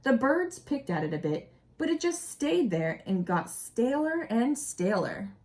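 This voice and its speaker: A woman's voice: fairly high pitch, unhurried. A woman reading a children's story aloud expressively, as an educator addressing young listeners. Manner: animated storytelling with explanatory asides and questions to young listeners. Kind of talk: expressive picture-book read-aloud.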